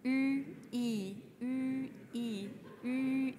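A woman in her thirties from Wisconsin, a native English speaker with an American accent, giving a lively, self-mocking stage talk in a large hall. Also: high voice, extremely slow. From Wisconsin, USA. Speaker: science slam speaker. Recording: reverberant hall.

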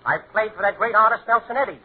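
Man playing a high-pitched double-talking piano professor. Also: fast, natural voice. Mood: confident, instructive. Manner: rattling off confident garbled jargon. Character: fussy male piano professor spouting nonsense double-talk. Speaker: comic actor. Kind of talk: 1940s radio comedy acting. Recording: noisy, narrow-band 1940s radio recording.

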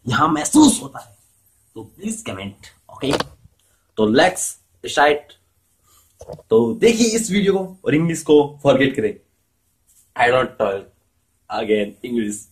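A man's voice: mid-range voice, slight background noise, poor audio. A playful young man from India, vlogging casually to a camera held at arm's length, at home and outdoors in a village. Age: young adult. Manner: playful, jumps between Hindi and broken English. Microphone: handheld camera at arm's length.

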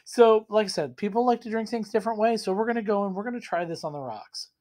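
Hoarse man with a cold reviewing whiskey. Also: sits high, quick pace, a little mellow. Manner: rambling, self-deprecating, enthusiastic. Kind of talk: casual tasting vlog. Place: small room indoors at home.

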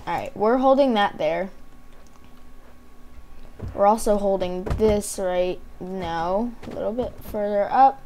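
A woman's voice: mid-range voice, slow, pauses often, warm tone. A woman doing casual gameplay commentary, thinking aloud to herself, with a voice that is starting to give out. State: losing her voice, often clearing throat. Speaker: gamer hosting a gameplay video. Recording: background hiss, keyboard and mouse clicks.

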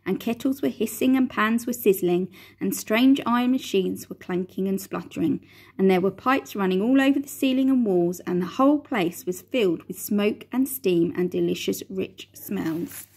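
A woman's voice: mid-range voice, husky. Middle-aged woman reading a story aloud. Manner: lively, expressive storytelling. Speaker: primary school teacher. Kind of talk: reading a children's book aloud. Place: large indoor room with ceiling lights. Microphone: phone front camera at close range.

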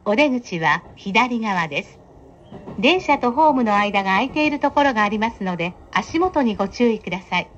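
A woman's voice: expressive, moderate pace, fairly bright tone. A woman train announcer reading scripted station announcements in a polite, formal, carefully enunciated manner. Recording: dull train PA with clear rumble. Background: train running noise.

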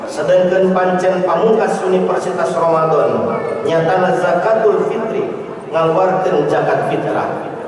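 Middle-aged man, Sundanese accent, Eid sermon, rhythmic oratory, high voice, distant echoing mosque mic. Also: fairly even intonation. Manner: measured, rhythmic oratory with Arabic phrases. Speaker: preacher. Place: large mosque hall full of worshippers. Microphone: stand mic at a lectern, filmed from far. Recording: echoey mosque hall from far off. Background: crowd of worshippers.

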